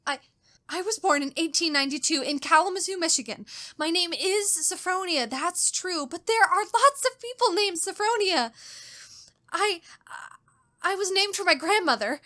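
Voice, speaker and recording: A woman's voice: extremely high pitch, lively intonation, normal speed, pushed and bright, exaggerated voice. An actress playing a terrified, genteel captive young woman in a suspense drama, with a faltering, melodramatic delivery. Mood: pleading. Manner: faltering, repeats words, melodramatic. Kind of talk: old-time radio style suspense drama, diary monologue.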